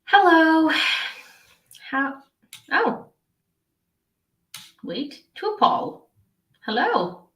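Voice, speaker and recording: A woman's voice: sits high, very animated, drawn-out words, pauses often, a bit bright, roomy sound. A young woman from Ukraine chatting casually on a live stream and reading viewers' messages, giggling often and trailing off into fillers and restarts.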